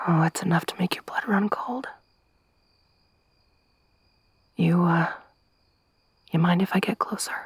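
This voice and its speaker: A woman voicing a tough young woman survivor around thirty in an ASMR roleplay, soft-spoken with dry humor. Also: lowish voice, husky, no echo, full character voice. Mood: hushed. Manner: hushed whispering when hiding.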